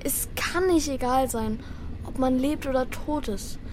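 A woman's voice: high-pitched, a little slow, a little mellow, a little hiss or hum. A woman playing a young boy who misses his brother, earnest and heartfelt, narrating and acting in a children's radio play. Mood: troubled, earnest.

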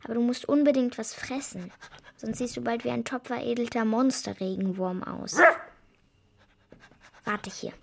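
A woman's voice: on the high side, steady tone, moderate pace, vocal fry, pauses often, darkish tone. A woman playing a curious, impatient young girl in a children's radio drama, colloquial and sassy. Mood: brisk, teasing.

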